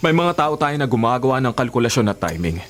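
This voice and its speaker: A man's voice: medium pitch, sing-song, fast, close to an everyday voice. A man playing a stern but caring racing team chief in a Filipino radio drama, authoritative and cautioning. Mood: subdued, serious.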